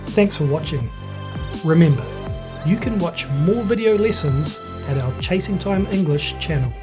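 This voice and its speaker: Woman narrating a lesson over music. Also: sits low. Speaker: language lesson presenter. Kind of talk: scripted instructional narration. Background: background music.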